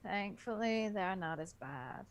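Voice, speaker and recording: A woman's voice: mid-range voice, takes it slowly. A woman reading a part aloud in a book club, with blunt, mocking asides and some swearing. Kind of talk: dramatic reading with joking commentary.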